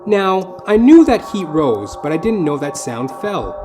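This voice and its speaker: A man narrates a comic audio play in a theatrical, mock-literary manner. His voice sits a little high and swings widely in pitch. Music plays clearly behind him. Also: big pitch swings, measured pace. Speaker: comedian and storyteller.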